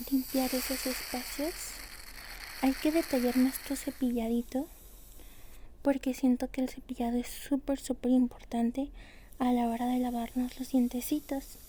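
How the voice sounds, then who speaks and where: Soft whispery high-voiced woman. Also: slight background noise, low-quality recording. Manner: tender, soothing, uses many diminutives. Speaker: ASMR creator role-playing a dental hygienist. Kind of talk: ASMR roleplay. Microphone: close ASMR microphone.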